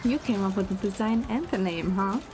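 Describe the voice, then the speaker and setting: A woman playing a fond, gently teasing young girlfriend and film producer in a romantic game audio drama. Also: medium pitch, brisk, over music, natural voice.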